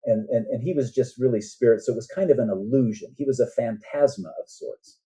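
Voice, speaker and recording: Guy being interviewed on a podcast, really dark soft-edged husky voice, earnest, explains stuff and checks in a lot. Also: mid-range voice, fairly quick. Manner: earnest, often checks in with "right?". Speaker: author, podcast guest.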